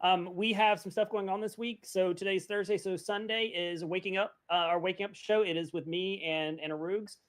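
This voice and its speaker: A man's voice: high-pitched, fairly even intonation, moderate pace, hardly any pauses. A man chatting casually as a co-host on a podcast. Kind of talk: casual chat.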